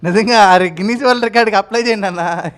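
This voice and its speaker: A woman's voice: mid-range voice, very fast. A woman interviewing in a chatty, effusive and encouraging way.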